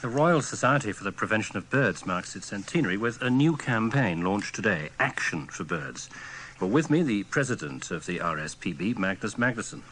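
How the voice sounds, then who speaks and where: A man's voice: fairly low pitch, big pitch swings, moderate pace, fairly bright tone. A man presenting scripted introductions on a radio news programme. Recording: faintly noisy archive radio recording.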